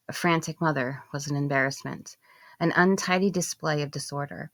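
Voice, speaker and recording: Low-voiced woman narrating an audiobook. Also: dry recording. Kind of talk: scripted first-person literary narration.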